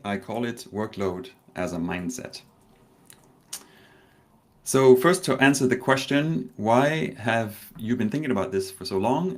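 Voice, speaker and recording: A man from Denmark, in his thirties, giving a live online conference talk, telling a story slowly with pauses and a touch of nerves. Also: lowish voice. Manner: warm storytelling with pauses, slightly nervous. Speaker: conference speaker.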